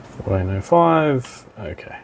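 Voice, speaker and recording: A man giving an informal software tutorial, thinking aloud as he instructs step by step. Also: medium pitch, very animated, slow pace, vocal fry, a little hiss or hum. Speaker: technical demonstrator. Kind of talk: software tutorial walkthrough, talking while clicking.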